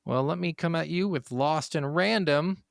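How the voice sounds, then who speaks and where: A man co-hosting a casual gaming podcast, amused and excitable, with plenty of swearing in his talk. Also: a little high, moderate pace, vocal fry, dry recording, clean studio sound. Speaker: podcast co-host. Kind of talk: casual video game discussion.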